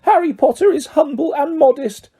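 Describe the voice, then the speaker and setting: A reader voicing a small, servile male house elf with a high, squeaky voice who talks about himself in the third person. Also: lively intonation, normal speed, mellow. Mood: reverent. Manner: speaks of himself in third person, adds "sir".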